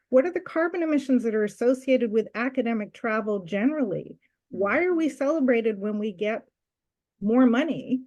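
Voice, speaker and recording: A woman from Canada giving measured, earnest academic remarks on a webinar panel over a video call, with occasional fillers. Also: fairly high pitch, a little mellow.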